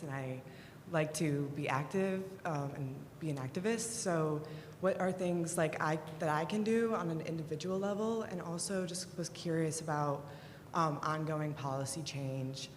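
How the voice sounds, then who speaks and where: A young woman in her twenties, a graduate student, asks a panel an earnest, eager question. Her voice sits unusually low for a woman. The hall adds a roomy echo and long reverb, with faint noise underneath. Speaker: master's student asking a question. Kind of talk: audience question at a conference. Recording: echoey hall with background noise.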